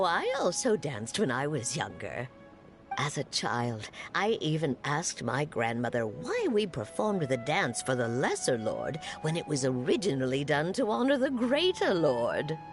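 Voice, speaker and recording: Low, expressive old townswoman. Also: unhurried, over music. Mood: nostalgic. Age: older. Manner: reflective, storytelling. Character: nostalgic, devout older townswoman reminiscing. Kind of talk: video game voice acting.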